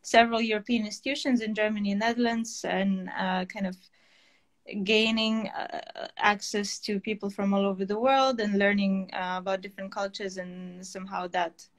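Estonian-born woman in her 30s, thinking out loud on a laptop call, lots of uhs. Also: mid-range voice, fairly even intonation, normal speed. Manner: thoughtful, many uh fillers. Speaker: artist, interview guest. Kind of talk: casual video-call interview. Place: home room, indoors. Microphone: laptop webcam on video call.

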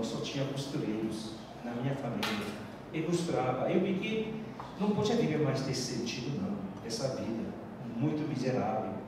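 A middle-aged man, a pastor giving an earnest sermon testimony into a handheld mic on a church stage, with halting phrasing and frequent restarts. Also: medium pitch. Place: church stage with large hall. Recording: echoey hall from far off with background noise.

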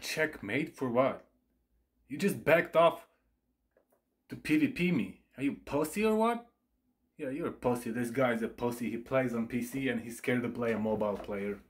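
Brief: streamer man, mid pitch, taunting and sarcastic, loud music behind. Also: lively intonation, normal speed. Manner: heckling, sarcastic, profane. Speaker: streamer reacting to a video. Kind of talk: casual reaction commentary.

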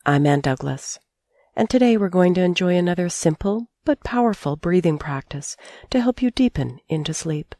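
A middle-aged woman guiding a sleep meditation as a teacher, calm and soothing, speaking in measured phrases with long pauses. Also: on the low side, no echo, clean studio sound. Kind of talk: guided sleep meditation narration.

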